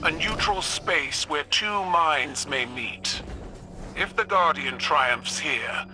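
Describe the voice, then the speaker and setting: A man's voice: on the high side, expressive, takes it slowly, pushed and bright, slight background noise, full character voice. A man playing an imperious, vengeful alien warrior ruler in a video game, with formal, stately phrasing. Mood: ominous, formal. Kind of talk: dramatic video game voice acting.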